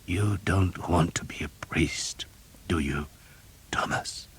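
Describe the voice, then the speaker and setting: A man's voice: low voice, slow, warm tone, husky, full character voice. A man voicing a dying older male priest who speaks in a weak, strained whisper. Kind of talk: audiobook character reading.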